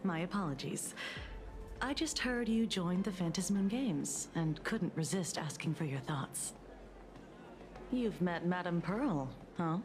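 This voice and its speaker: A woman playing a philosophical, inquisitive character in a video game, speaking in a measured, musing way. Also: fairly low pitch, animated, music in the background. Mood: light, teasing. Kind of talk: dramatic video game voice acting. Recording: clear background ambient noise.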